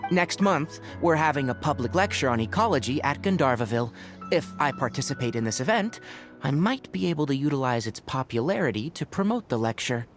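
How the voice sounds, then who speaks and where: A man voicing a sensible, dry-witted young forest ranger who explains things calmly. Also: medium pitch, a little fast, soft background music, lightly acted. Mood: level. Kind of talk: video game voice acting.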